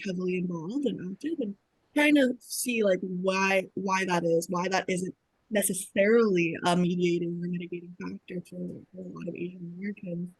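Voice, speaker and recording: A woman's voice: lowish voice, slightly rough, low-quality recording. A young woman from Boston describing her research on a video-call panel, hesitant with many ums and likes. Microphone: video call with dropping audio.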